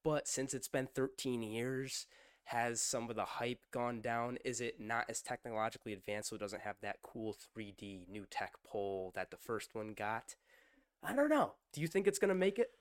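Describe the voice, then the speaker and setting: Slightly hoarse young man, chatty podcaster. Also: mid-range voice, animated, normal speed, no room sound, studio quality. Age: young adult. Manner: chatty, joking, asks his co-host questions. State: slightly hoarse from a sore throat. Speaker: podcast co-host. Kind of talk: casual podcast chat.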